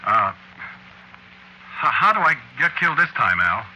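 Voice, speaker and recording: An actor playing a big, meek, bewildered man facing doom in a vintage radio drama, halting and stammering haplessly. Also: medium pitch, very animated, bright, forceful tone, slightly rough, heavily acted. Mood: resigned. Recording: noisy vintage radio recording.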